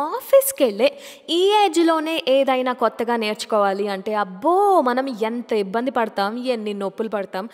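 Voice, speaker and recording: A woman's voice: fairly high pitch, big-room sound. A woman hosting a radio show in a chatty, conversational way, putting questions to listeners.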